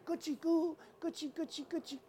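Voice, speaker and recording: Lady doing chief going gucci-goo soft n high, soothing baby talk, some noise. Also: fairly even intonation, normal speed. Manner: singsong nonsense cooing. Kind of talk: live oral storytelling.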